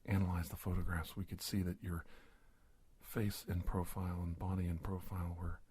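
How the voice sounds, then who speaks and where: A man playing a cautious, polite government investigator in a soft-spoken ASMR roleplay, speaking haltingly and often dropping to a whisper. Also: low-pitched, flat delivery, breathy edge. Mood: calm, courteous. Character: cautious, polite government investigator doctor confronting a disguised creature. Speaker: ASMR performer.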